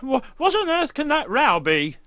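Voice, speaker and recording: A man voicing an excitable, teasing corn-cob character in a children's storybook, exclaiming and stammering. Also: very high-pitched, measured pace, no room sound, clean studio sound, pitched above the performer's own voice, full character voice. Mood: startled.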